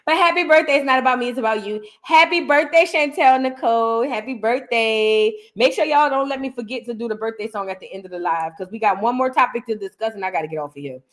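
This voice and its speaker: A woman hosting a casual livestream, chatty and animated, reading out viewer messages and giving her opinion. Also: a little high, quick pace, hardly any pauses. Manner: chatty, animated, reads out viewer super chats. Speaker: livestream host. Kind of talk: casual livestream reaction chat.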